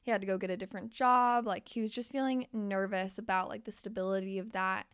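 A woman's voice: medium pitch, fairly even intonation, fairly quick, clear, resonant tone, no echo, clean studio sound. A young woman podcast host and life coach who is losing her voice. She talks candidly and swears freely as she speaks straight to her listeners. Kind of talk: casual motivational podcast monologue.

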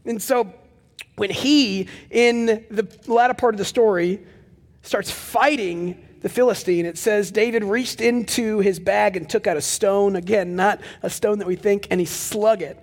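Young male pastor, Texan accent, loud projecting delivery, high voice. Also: a little slow, in a large hall. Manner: animated storytelling with asides. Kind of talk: sermon. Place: large church hall with live audience.

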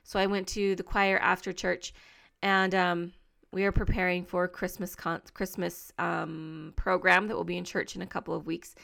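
Woman podcaster, encouraging and earnest, smooth voice with a bit of fry. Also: on the low side, little pitch movement, moderate pace. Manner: warm, earnest, upbeat encouragement with many fillers. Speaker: podcast host. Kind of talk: encouraging solo podcast talk.

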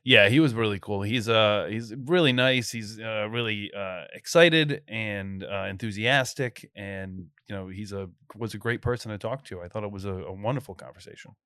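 A man co-hosting a podcast, talking casually from home over a video call and pausing often with fillers. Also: lowish voice, a bit bright, smooth, no echo, clean studio sound. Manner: hesitant, many fillers. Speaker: podcast co-host. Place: home, recording remotely.

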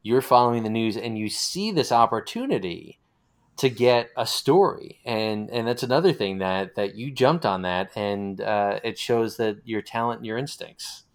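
A man's voice: on the low side, lively intonation, normal speed. An American man hosting a conversational interview, talking in an encouraging, affirming and discursive way. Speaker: interview host.

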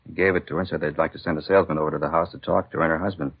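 A steady police partner in a fifties radio drama. The voice is extremely low and husky, rattling along in plain, polite speech. The recording is old and muffled. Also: male voice, very fast, full character voice. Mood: businesslike. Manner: plain-spoken, matter-of-fact. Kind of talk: 1950s radio acting. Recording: dull 1950s radio recording.

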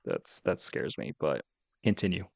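Opinionated guy, lowish dry voice. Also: very animated, measured pace, vocal fry, darkish tone, dry recording. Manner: informal, opinionated fan talk. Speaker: podcast co-host. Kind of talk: casual geek-culture chat.

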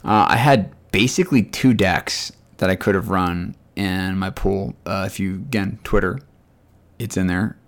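A man's voice: low-pitched, measured pace. A man with a scratchy voice telling rambling, chatty stories in a casual chat as podcast co-host.

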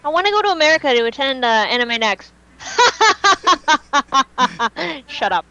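A woman's voice: high-pitched, sing-song, takes it slowly, sharp tone, no room sound, low-quality recording. A woman co-hosting a fan podcast, chatting casually in a slangy, emphatic, opinionated way. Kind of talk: casual chat.

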